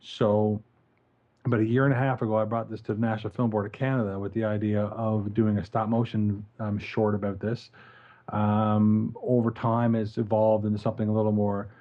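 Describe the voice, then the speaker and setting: A man's voice: on the low side, fairly quick, muffled recording. A man with a Newfoundland accent, talking casually on a podcast and explaining a project with many ums. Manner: relaxed storytelling with fillers. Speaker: podcast co-host.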